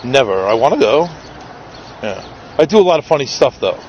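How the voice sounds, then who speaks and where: A talkative man with a New York accent trades jokes and questions with a stranger while filming outdoors in a city park. His voice sits at a middle pitch, and faint street and traffic noise runs under it. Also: lively intonation, brisk, muffled recording. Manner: chatty, joking, curious questioner. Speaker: street videographer. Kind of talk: casual street conversation.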